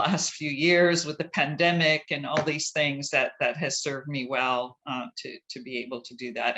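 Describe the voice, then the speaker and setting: A man's voice: mid-range voice, pushed and bright. A man from Canada answering interview questions about his career, thoughtful and easygoing, with frequent fillers and restarts. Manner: thoughtful, many fillers and restarts, laughs lightly. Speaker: interview guest, chief IP counsel.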